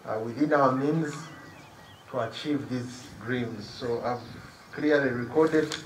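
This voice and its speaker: A man's voice: medium pitch, echoey room, a little hiss or hum, low-quality recording. A middle-aged man giving a prepared formal speech outdoors into a stand mic. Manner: slow, measured, formal delivery. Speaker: guest of honour giving a speech. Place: outdoors on a lawn by trees. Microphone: stand mic at a distance, under an umbrella.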